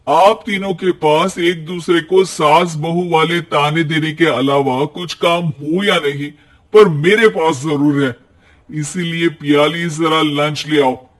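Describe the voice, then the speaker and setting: A man's voice: fairly high pitch, a bit bright. A man playing a harried, mild-mannered young husband in a cartoon, coaxing and apologetic.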